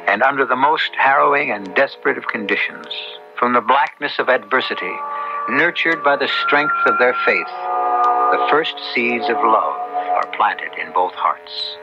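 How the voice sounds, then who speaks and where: A man hosting and narrating an old radio drama in a solemn, theatrical style with deliberate phrasing. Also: medium pitch, creaky. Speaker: host and narrator. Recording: dull old radio broadcast with music.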